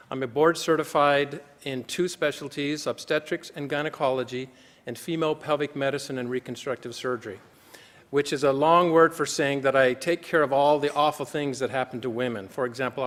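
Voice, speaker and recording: Man, conference speaker, mid pitch, earnest, measured, long hall echo. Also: fairly bright tone. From United States. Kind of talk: formal conference talk.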